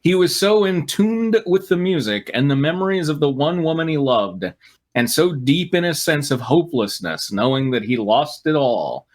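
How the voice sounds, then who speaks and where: A man's voice: fairly high pitch, expressive, normal speed. A man reading fan fiction aloud as a comic host, narrating mock-dramatically and breaking into wry asides. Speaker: reader and riffing co-host.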